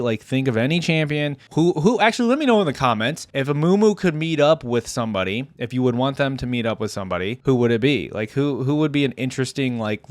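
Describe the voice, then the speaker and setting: Male reaction-channel host, average pitch, casual and rambling, thinking aloud on a dry close mic. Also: no room sound. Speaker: YouTube host reacting to game characters.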